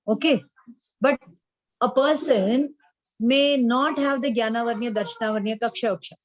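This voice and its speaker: Higher-pitched Indian woman teaching, dull audio. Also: dry recording. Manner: didactic, deliberate, checks understanding with questions. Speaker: religious teacher. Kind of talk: spiritual class lecture.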